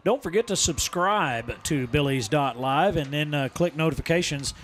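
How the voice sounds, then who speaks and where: A man calling live high school football, his voice slightly raspy as it recovers from being lost. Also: moderate pace, a bit bright, a little hiss or hum. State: voice recovering after losing it. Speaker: football play-by-play commentator. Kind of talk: live sports commentary.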